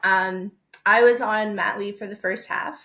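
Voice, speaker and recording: A woman's voice: mid-range voice, steady tone, unhurried, fairly bright tone. A woman in her thirties with a mid-range voice tells a story as an interview guest. She speaks plainly and uses filler words. Her voice is heard in an echoey room. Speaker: guest, company co-founder. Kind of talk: casual interview.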